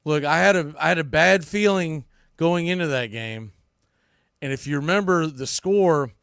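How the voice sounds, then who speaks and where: Higher-pitched man, blunt sports podcast host. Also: moderate pace, gravelly, a bit bright, clear, resonant tone, dry recording, clean studio sound. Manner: blunt, ranting, mildly profane; emphatic, repeats phrases for punch. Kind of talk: opinionated sports recap monologue.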